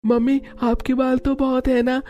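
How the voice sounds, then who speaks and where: A man puts on an eager little village child for a cartoon story. The voice jumps far up in pitch, childlike and pleading, with music underneath. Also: monotone, moderate pace, a little mellow.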